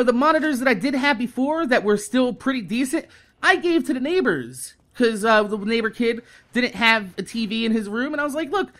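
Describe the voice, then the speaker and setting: A man in his thirties telling a casual, exasperated story with heavy swearing, in the animated manner of a gaming YouTuber. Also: very high voice. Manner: animated, heavily profane, exasperated.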